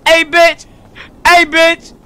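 Casting: American male game streamer, piercing high voice, forceful, repetitive street-slang trash talk. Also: monotone, slow pace. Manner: loud, repetitive, taunting shouts. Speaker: streamer role-playing as a gang member in an online game.

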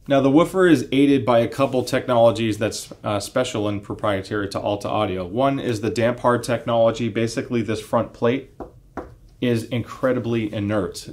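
A man's voice: lowish voice, darkish tone. A man around his late thirties or early forties giving a casual, explanatory product review in a conversational way. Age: 30s to 40s. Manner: conversational, explanatory, uses analogies. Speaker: audio equipment reviewer. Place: indoor home listening room, seated.

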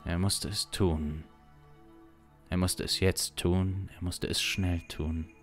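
A man in his thirties tells a suspense story in a very deep voice. His delivery is slow, deliberate and dramatic, over faint music. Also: fairly even intonation. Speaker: narrator. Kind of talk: improvised suspense story narration. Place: small indoor streaming studio. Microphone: close studio microphone on boom arm.

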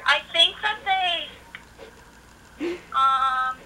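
A woman's voice: sits high, extremely slow, bright, forceful tone, far from the mic, a little hiss or hum. A woman working in a store, talking casually with a customer over a phone call played through a speaker. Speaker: store employee. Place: phone line, heard through a mobile speaker.

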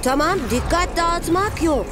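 A woman's voice: extremely high pitch, unhurried. A woman playing an earnest, determined six-year-old boy lizard superhero in a dubbed children's cartoon. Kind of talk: animated children's series dub. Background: music.

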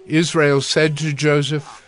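A man reading scripture as narrator of a dramatized audio Bible, measured and formal. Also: on the high side, level tone, a little hiss or hum.

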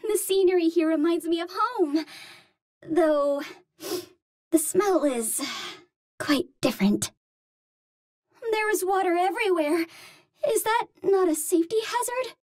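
An actress playing a cute, earnest young Martian heroine in a video game, speaking politely in precise, formal phrases. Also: female voice, very high voice, animated, measured pace, long pauses, darkish tone. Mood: curious, earnest. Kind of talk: video game character voice lines.

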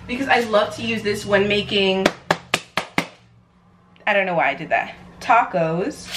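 Playful young American woman, noisy room. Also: medium pitch. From New York, United States. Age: young adult. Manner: playful, chatty, self-described chaotic energy. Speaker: vlogger. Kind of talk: casual cooking vlog chat to camera. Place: home kitchen, indoors. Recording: clear background noise, room ambience.

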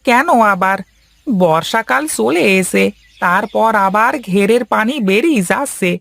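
Casting: male actor for a folksy animated servant, high voice with lots of gravel, cautious and deferential. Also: a little slow, heavily acted. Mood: worried, deferential. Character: deferential, cautious village farmhand, a man. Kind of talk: cartoon dialogue acting.

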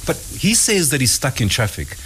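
A man's voice: medium pitch, sing-song, moderate pace, fairly bright tone, slight background noise. A man hosting a morning radio show from a studio kitchen, teasing and playful, speaking into a studio mic. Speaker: radio host. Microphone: studio mic with headphones.

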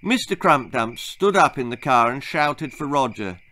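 A man's voice: medium pitch, animated, moderate pace, pushed and bright. A British man in his sixties reading a children's story aloud in a theatrical, arch manner. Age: 60s. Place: small book-lined room indoors.

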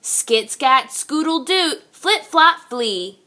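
A woman reading a picture book aloud to young children like a teacher, expressive and sing-song. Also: sits high, takes it slowly.

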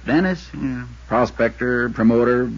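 Casting: long-winded, folksy insurance agent for 1950s radio drama; husky, muffled vintage sound. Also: male voice, mid-range voice. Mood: easygoing, storytelling. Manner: folksy, drawn-out storytelling.

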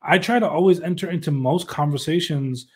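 A man's voice: fairly high pitch, a little fast, darkish tone, slightly rough. A man moderating a video call panel, giving confident advice and reading audience questions. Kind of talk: casual panel chat.

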